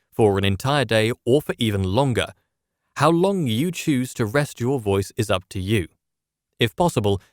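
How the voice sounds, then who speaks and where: A man's voice: medium pitch, no echo. Man presenting a dry, close-sounding scripted lesson. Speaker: presenter of public speaking lessons. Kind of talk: scripted instructional narration.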